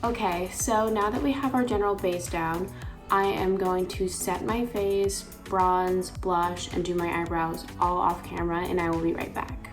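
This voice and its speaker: A young woman with a raspy voice, chatting casually through a makeup tutorial with lots of filler words. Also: fairly low pitch, flat delivery, unhurried, over music. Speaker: beauty vlogger.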